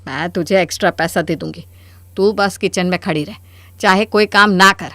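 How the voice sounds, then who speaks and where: A woman's voice: medium pitch, normal speed, bright, forceful tone, dry recording, natural voice. A woman playing a stubborn, scheming middle-aged mother-in-law in a Hindi cartoon story, with a theatrical, scolding delivery.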